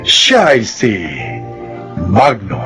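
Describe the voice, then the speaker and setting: Male narrator for a radio drama; measured and formal, with faint music beneath. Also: medium pitch, huge pitch swings.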